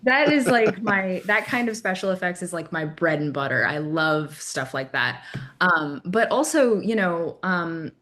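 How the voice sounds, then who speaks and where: Slightly low-voiced woman, informal and meandering. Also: animated, unhurried, a bit bright. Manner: informal, meandering, seeking agreement. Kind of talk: casual chat.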